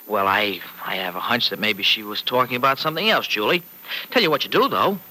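Folksy, sharp-edged radio-serial uncle. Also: male voice, medium pitch, expressive, fairly quick. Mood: gently patient. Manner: folksy, hesitant, colloquial. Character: Uncle Freddy, gruff-hearted fretful family man, protective and sardonic. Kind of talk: old-time radio serial acting. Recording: noisy vintage radio recording.

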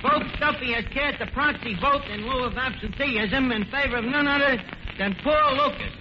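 A man's voice: very high voice, normal speed. A man acting a brash, slangy tavern manager who mangles his words in an old radio comedy. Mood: excited. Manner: malapropisms and bad grammar, bluffing. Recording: noisy, muffled old radio recording.

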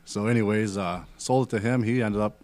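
A man's voice: lowish voice, a little fast, darkish tone, dry recording. A thirty-year-old man from Wisconsin chatting casually as a podcast guest, giving short, laid-back answers. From Wisconsin, United States. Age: 30s. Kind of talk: casual chat.

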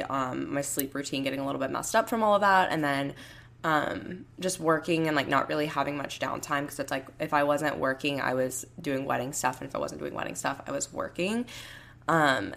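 A young woman in her twenties hosting a solo podcast from home, her voice hoarse and raspy, rambling casually with lots of fillers. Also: sits low, a bit bright. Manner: rambling, heavy use of "like" and "um". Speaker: podcast host.

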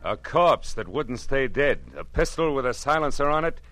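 An actor playing a wry, world-weary private detective in clipped, hard-boiled 1940s radio noir style. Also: male voice, medium pitch, animated, normal speed, fairly bright tone, dry recording, faint music behind, close to an everyday voice. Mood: dramatic teaser.

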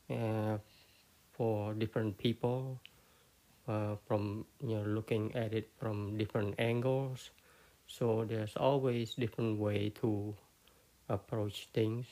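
A man whose first language is Khmer, hosting a podcast and giving a reflective Buddhist teaching talk, halting with many fillers and repeated phrases. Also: on the low side, vocal fry, dark tone. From Cambodia. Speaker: podcast host.

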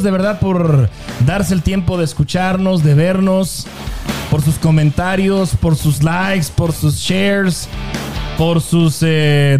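A man hosting a podcast, chatting casually and warmly with slang and many fillers. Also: high-pitched. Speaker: podcast host. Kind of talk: casual interview chat.

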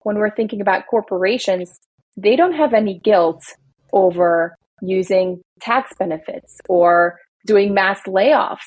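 A woman's voice: mid-range voice, unhurried, a little mellow, husky, dry recording, rough recording. A woman from Belgium, an attorney, explaining workplace rights in an earnest, casual podcast chat. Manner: earnest, explanatory, speaks in long building lists. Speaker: employment attorney guest.

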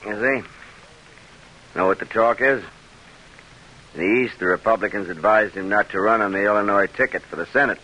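Blunt, bright-voiced lawyer. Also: male voice, on the low side, moderate pace, long pauses, highs cut off. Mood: probing, needling. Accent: American. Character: blunt, probing male lawyer, loyal go-between. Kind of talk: old-time American radio drama acting. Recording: vintage radio broadcast recording.

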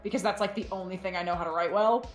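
A woman's voice: medium pitch, fairly even intonation, fast, soft background music. A woman guesting on a podcast as a fiction writer, chatting casually and jokingly. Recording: roomy echo on the voice.

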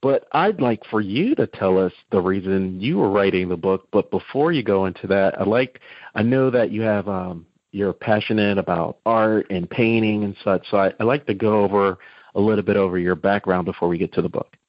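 A dull, muffled recording of a man with a lowish voice. He interviews conversationally, circling toward his questions with hesitant, rambling phrasing. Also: moderate pace, no room sound.

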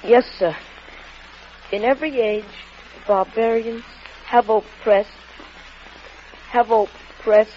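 Woman doing young schoolboy reciting all choked up, super slow and halting, old radio drama, muffled sound. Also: on the high side, steady tone. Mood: tearful. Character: young schoolboy reciting, struggling not to cry. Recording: dull, noisy old radio recording.

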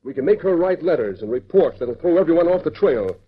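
A man playing a ruthless outlaw gang boss in a 1930s radio drama, barking orders in a husky, menacing voice, on a dull lo-fi old radio recording. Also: a little high, lively intonation, fairly quick. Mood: scheming.

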